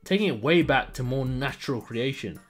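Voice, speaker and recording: A man's voice: mid-range voice, expressive, brisk, soft background music. A man hosting a gaming channel, narrating a scripted video essay with enthusiastic, emphatic presenter phrasing. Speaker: YouTube gaming channel host.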